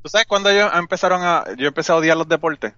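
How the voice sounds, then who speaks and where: A man's voice: a little high, quick pace, sharp tone, no echo, highs cut off. A man with a Puerto Rican accent chatting casually as a podcaster, frank, slangy and self-deprecating, over an online call. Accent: Puerto Rican Spanish. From Puerto Rico. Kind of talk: casual chat, joking and frank.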